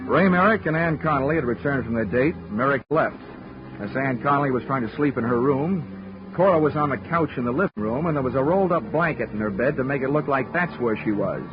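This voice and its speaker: A man's voice: mid-range voice, expressive, fairly quick, lightly acted. A man playing a wisecracking hard-boiled investigator. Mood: wry. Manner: dry wisecracking first-person narration. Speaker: narrator and lead. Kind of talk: 1940s radio acting. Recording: lo-fi 1940s radio broadcast with music.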